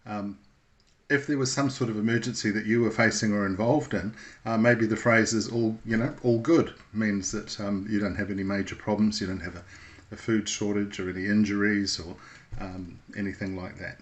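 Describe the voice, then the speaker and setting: Male instructor, lowish voice, unscripted explainer delivery, measured and slightly hesitant, pausing to think between phrases. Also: fairly even intonation. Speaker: instructor presenting a radio how-to video. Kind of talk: informal unscripted tutorial.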